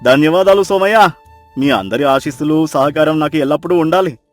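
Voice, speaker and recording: A man's voice: fairly high pitch, brisk, faint music behind, close to an everyday voice. A man playing a humbled, emotional former miser husband in a moral story, with earnest, dramatic delivery. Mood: grateful, resolved. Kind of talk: Telugu moral story character acting.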